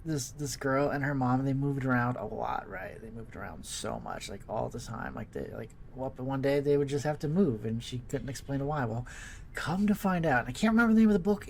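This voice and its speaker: A man's voice: mid-range voice, a little fast, gravelly, a little mellow, slight background noise. A man giving casual let's play commentary as host, rambling along in a laid-back, hesitant way. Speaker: gaming video host.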